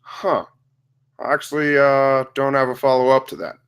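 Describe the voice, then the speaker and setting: A man acting a casual, sheepish father in his fifties at an animated comedy table read. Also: mid-range voice, normal speed, clear, resonant tone, close to an everyday voice. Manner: casual, hesitant, brushing off bad news.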